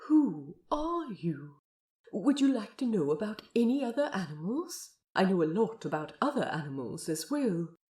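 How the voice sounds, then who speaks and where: A woman voicing a gentle, forlorn man, an earnest and fussy aquarium guide with old-fashioned politeness, in an audiobook drama. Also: medium pitch, sing-song, moderate pace, breathy edge, pitched below the performer's own voice. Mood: eager, pleading. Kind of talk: audiobook character acting.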